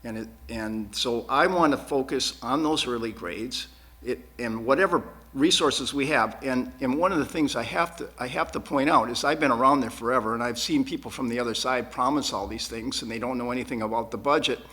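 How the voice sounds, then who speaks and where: A man's voice: medium pitch, a little fast, sharp tone. A man speaking as a school board candidate at a forum, earnest and emphatic, repeating words to drive points home. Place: public access TV studio.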